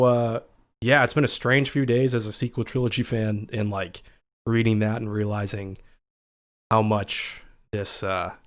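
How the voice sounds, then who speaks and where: Young man, scratchy voice, casual livestream host, chatty, reads out viewer comments. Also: on the low side.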